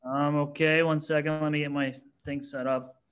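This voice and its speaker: An American man in his thirties, a startup CEO answering interview questions in a relaxed way with many fillers. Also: fairly high pitch, moderate pace, clear, resonant tone. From United States. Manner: relaxed, many ums and you-knows.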